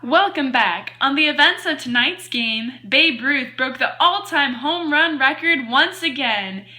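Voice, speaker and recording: A woman's voice: sits high, expressive, a little slow, sharp tone, soft background music, heavily acted. A woman playing an earnest news anchor in a scripted amateur 1920s radio broadcast. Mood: upbeat.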